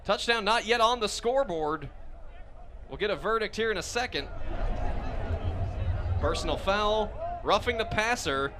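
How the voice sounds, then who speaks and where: A man's voice: high-pitched, animated, measured pace, pauses often. An American man doing live play-by-play commentary of a football game as a radio announcer. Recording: loud outdoor stadium noise behind voice.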